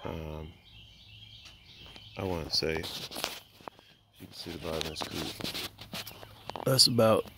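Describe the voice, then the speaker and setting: Low-voiced man rambling on a backyard phone video. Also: takes it slowly, gravelly, long pauses, noisy background. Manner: rambling, thinking aloud with many fillers. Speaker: homesteader giving a tour. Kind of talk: casual walk-and-talk vlog. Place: outdoor backyard by chicken coops. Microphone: handheld phone camera.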